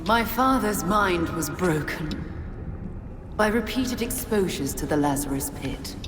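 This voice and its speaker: A woman's voice: on the low side, lively intonation, a little slow, music in the background. An actress playing a scheming, commanding female villain in a video game, speaking with cold deliberation. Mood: triumphant, commanding. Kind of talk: dramatic video game cutscene acting. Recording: echoey hall reverb, loud background noise.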